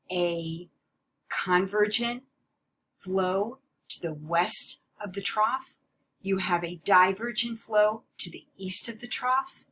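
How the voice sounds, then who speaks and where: A woman giving an explanatory lecture as a teacher, in a deliberate, emphatic way that repeats key points. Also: mid-range voice, a bit bright, slight background noise, low-quality recording. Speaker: meteorology teacher.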